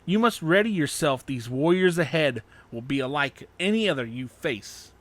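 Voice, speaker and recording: A proud samurai warrior in an audio story, read by a man. He speaks with stern, solemn authority in a slightly high male voice, recorded close and dry. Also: lively intonation, normal speed, a bit bright, no echo.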